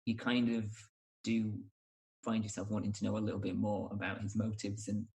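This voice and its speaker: A man's voice: on the low side, flat delivery, normal speed, gravelly, a little mellow. A man, hosting a podcast and chatting casually about films. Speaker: podcast host. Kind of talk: casual film discussion.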